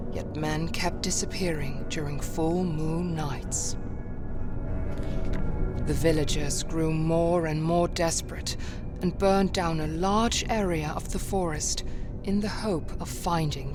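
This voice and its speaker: A woman narrating a dark fairy tale in a solemn, measured storytelling manner. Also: sits low. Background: music.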